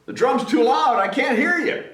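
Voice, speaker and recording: A man's voice: extremely high pitch, expressive, normal speed, fairly bright tone, voice pushed up. A man voicing an oblivious storybook mother frog who calls out as if over noise. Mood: loud, oblivious. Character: mother frog, oblivious, loud, hard of hearing. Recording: roomy echo, distant mic, faint noise.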